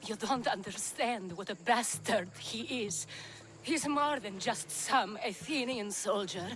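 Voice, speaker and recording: An actress playing a worldly, flirtatious courtesan in a video game cutscene, with a languid, wry manner. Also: female voice, fairly high pitch, slow, fairly bright tone, slight background noise. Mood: urgent, conspiratorial.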